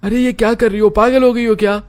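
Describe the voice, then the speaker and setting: A man's voice: high voice, normal speed, darkish tone, faint music behind, natural voice. A man playing an exasperated, pleading young husband in a family story, urgent and scolding. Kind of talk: dramatised Hindi family story acting.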